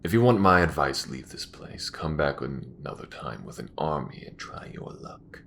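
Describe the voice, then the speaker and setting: A man playing a proud, bitter old imperial commander near death in a sci-fi audio drama, with a grave, grandiose, measured delivery. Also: sing-song, a bit bright, a little hiss or hum, exaggerated voice. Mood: resigned, weary. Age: older. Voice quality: very deep, gravelly.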